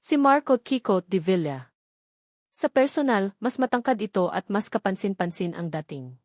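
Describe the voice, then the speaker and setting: A woman's voice: mid-range voice, expressive, normal speed, darkish tone, no echo, studio quality. A woman with a Filipino accent reading a scripted story as a dramatic narrator.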